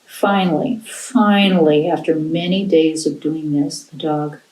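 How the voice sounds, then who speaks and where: An American woman telling a folktale to children in an animated storyteller's style. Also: low-pitched, unhurried. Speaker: library storyteller. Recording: roomy, echoing indoor sound.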